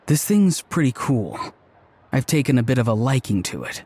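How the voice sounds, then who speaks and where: An actor playing a laid-back young man in a video game, deadpan and teasing. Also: mid-range voice, moderate pace, a little hiss or hum, natural voice. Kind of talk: video game cutscene voice acting.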